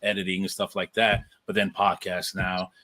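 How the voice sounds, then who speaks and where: A man's voice: low voice, little pitch movement, moderate pace, bright, forceful tone, no room sound. A man hosting a podcast in a casual, slangy way, with enthusiastic interjections. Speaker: podcast host. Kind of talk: casual chat.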